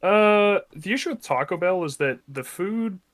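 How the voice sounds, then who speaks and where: Bright-voiced opinionated Canadian man. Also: high-pitched, lively intonation, moderate pace, no echo. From Ontario, Canada. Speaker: card game player. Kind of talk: casual banter during a card game.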